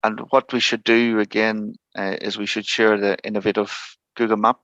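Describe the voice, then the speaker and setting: A man speaking as a guest expert in an informal online tutorial, encouraging but halting, over slightly dull call audio. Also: fairly low pitch, little pitch movement, fairly bright tone, dry recording.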